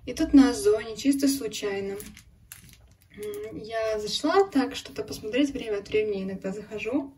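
A slightly hoarse woman chatting casually about her needlework at home, sometimes dropping to a whisper. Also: fairly high pitch, normal speed. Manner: chatty, drops into whispers, laughs softly. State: a bit hoarse. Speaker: cross-stitch vlogger. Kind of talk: casual chat showing needlework. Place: home, at a table. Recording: echoey room, mic at a distance, noisy background.

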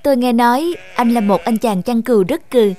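A woman voicing a gentle young snow maiden with a tender, solemn manner in a fairy-tale cartoon. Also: on the high side, fairly quick, natural voice. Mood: polite. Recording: music behind the voice.

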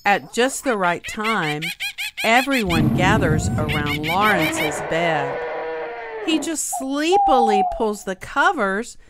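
A woman reading a rhyming children's picture book aloud in an expressive, deliberate storytelling manner. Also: mid-range voice. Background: music behind the voice.

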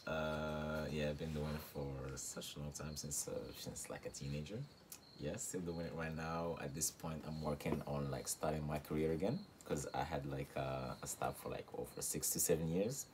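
A young man from Morocco, a guest rapper, talking casually in an interview with many fillers and soft laughs, in a small room recorded on a close phone camera. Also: extremely low pitch, fairly even intonation, moderate pace, slight background noise. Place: small room with reed-panel wall.

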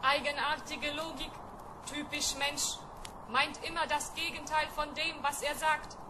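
A woman's voice: high voice, level tone, measured pace, noisy background. A woman playing a cocky, argumentative spaceship robot in an old German-style children's radio play, precise and pedantic. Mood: pedantic, cool. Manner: precise, matter-of-fact, pedantic.

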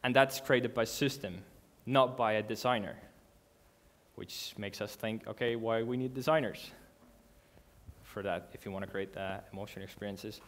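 Guy doing a conference talk in a big dark hall, earnest and kinda halting, lots of restarts. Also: fairly low pitch. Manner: earnest, halting, with restarts and non-native grammar. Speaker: conference speaker. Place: large dark hall with a seated audience.

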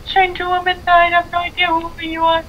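A woman's voice: very high-pitched, monotone, moderate pace, lo-fi sound, soft background music. A woman putting on a bewildered, mock-earnest mother approving of her son's suitor, over a voice call.

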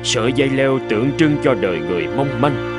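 Animated, theatrical actor as earnest fable man. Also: mid-range voice, brisk, lightly acted. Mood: thoughtful. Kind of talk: animated folk tale dub. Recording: music behind the voice.

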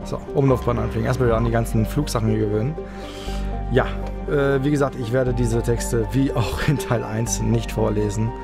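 A man's voice: mid-range voice, steady tone, brisk, creaky, loud music behind the voice. A man giving casual let's play commentary as the host.

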